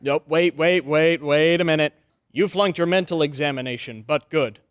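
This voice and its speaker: Casting: sincere, devoted attorney male actor, slightly high pitch, close dry recording, vintage radio acting. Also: normal speed, smooth, no room sound, close to an everyday voice. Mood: urgent. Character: earnest, loyal young male lawyer pleading for his friend. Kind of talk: old-time radio drama acting.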